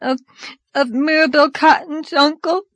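A woman's voice: sits high, fairly even intonation, a little slow, fairly bright tone, no echo, pitched above the performer's own voice. A woman voicing a timid, frightened little girl who is crying as she talks, in a storybook reading. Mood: tearful, fearful. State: crying, sobbing.

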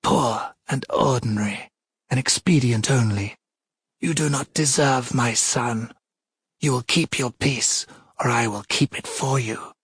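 A cold sea goddess, as played by a male narrator in an audiobook. The voice is lifted and husky, issuing measured commands with cutting menace. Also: fairly high pitch, steady tone, a bit bright, dry recording, clean studio sound, pitched above the performer's own voice, full character voice. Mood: contemptuous.

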